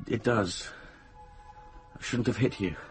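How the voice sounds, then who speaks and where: A man's voice: fairly low pitch, a little slow, faint music behind, lightly acted. An actor playing a troubled, anxious young man, narrating and acting in a radio drama. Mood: remorseful.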